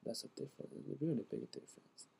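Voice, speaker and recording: Gravelly man. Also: mid-range voice, wildly swooping pitch, warm tone, slight background noise. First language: Chinese. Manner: halting, searching for English words. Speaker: interviewee. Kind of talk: interview answers.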